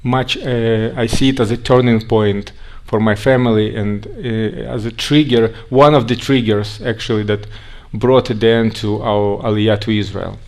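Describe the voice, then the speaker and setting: A man with a Russian accent, raised in Siberia, giving a conference talk in a halting way with frequent restarts. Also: lowish voice, fairly even intonation, a little mellow. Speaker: guest speaker.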